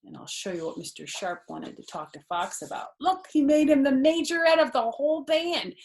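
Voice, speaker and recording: A woman's voice: high voice, expressive, moderate pace. An older woman reading a children's storybook aloud expressively, with explanatory asides.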